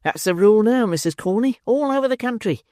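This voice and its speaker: A reader voicing a pompous, self-important male parish beadle who lectures in a lively, rising voice. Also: medium pitch, fast talker, no echo, studio quality, voice pushed up, close to an everyday voice. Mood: self-satisfied.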